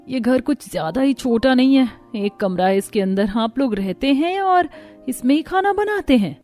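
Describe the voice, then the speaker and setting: A woman's voice: sits high, lively intonation, moderate pace, mellow, faint music behind. A woman voicing a haughty, spoiled young rich bride in a cartoon story, complaining with disdain and dropping in English words. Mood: disdainful. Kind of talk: Hindi cartoon story voice acting.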